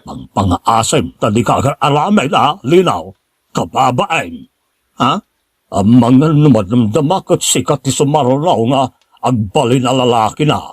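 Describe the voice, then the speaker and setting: A man's voice: mid-range voice, lively intonation, a little slow, husky, no room sound, clean studio sound. A man acting an earnest, talkative and insistent nephew in an Ilocano drama. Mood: agitated, pleading.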